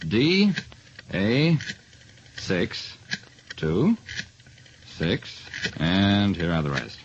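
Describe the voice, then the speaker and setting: A man's voice: sits low, huge pitch swings, extremely slow, highs cut off, slight background noise. A man narrating and hosting in 1940s radio style, on an old radio recording. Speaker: detective show star and quiz host.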